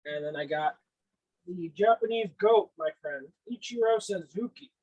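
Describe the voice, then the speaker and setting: A man's voice: sits high, moderate pace, no echo, highs cut off. A man with a Boston accent chatting casually about sports as co-host of a hobby show. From Boston, northeastern US. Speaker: co-host of a cap and card show.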